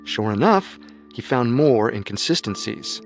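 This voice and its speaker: A man narrating a true-crime radio story in a measured, skeptical way over soft music. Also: medium pitch, very animated. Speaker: narrator and co-host.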